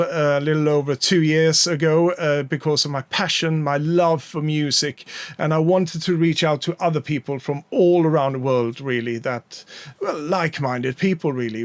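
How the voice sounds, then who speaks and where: Swedish guy rambling on about records, lots of ums, kinda high voice, dry close sound. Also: no echo. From Sweden. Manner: chatty, rambling with frequent fillers. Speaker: record collecting vlogger. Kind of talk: casual chat to camera.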